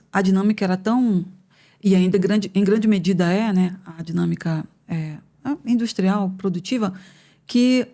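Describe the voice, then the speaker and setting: A woman in her fifties from northern Brazil speaks as a podcast guest, thoughtful and unhurried, with a middle-pitched voice. She gathers her ideas aloud, pausing and adding small tag questions as she explains her path into academia. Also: vocal fry, darkish tone. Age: 50s. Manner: thoughtful, measured, frequent hesitations and tag questions. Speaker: economics professor, podcast guest. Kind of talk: conversational interview answers. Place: podcast studio.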